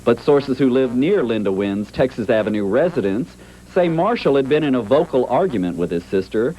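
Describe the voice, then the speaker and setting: A man's voice: mid-range voice, warm tone, rough recording. A man narrating a scripted television news report as a reporter, on an old 1980s broadcast tape.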